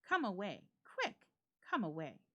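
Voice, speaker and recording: A woman voicing an excitable, urgent gentleman friend in a ghost story, in an exclamatory manner. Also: medium pitch, a little slow, dull audio. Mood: horrified urgency.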